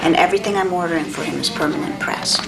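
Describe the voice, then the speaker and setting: A woman's voice: on the low side, quick pace, vocal fry. A woman working as a costume designer, explaining her design choices in detail in casual work talk. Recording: noisy, echoey room audio.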